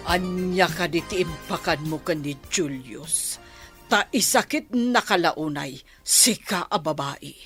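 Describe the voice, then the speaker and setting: A woman's voice: on the low side, takes it slowly, music in the background. A woman with an Ilocano accent playing an irritable, scheming village wife in a comedy radio drama. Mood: accusing.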